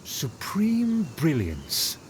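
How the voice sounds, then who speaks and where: A man's voice: a little high, huge pitch swings, very slow, slight background noise, exaggerated voice. An actor playing a haughty, self-important male aristocrat in a video game, pompous, theatrical and condescending.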